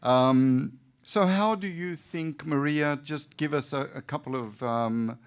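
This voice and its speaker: A man moderating an event unscripted, speaking in measured, halting phrases with an encouraging manner. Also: fairly high pitch, smooth. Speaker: moderator, priest.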